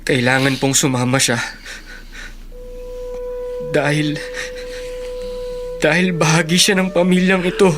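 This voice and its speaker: Young brother, radio drama: deep-set pitch, polite, hesitant, bewildered; clear music bed. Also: female voice, little pitch movement, slow pace, pauses often. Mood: earnest. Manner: deferential, hesitant. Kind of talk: Filipino radio drama acting.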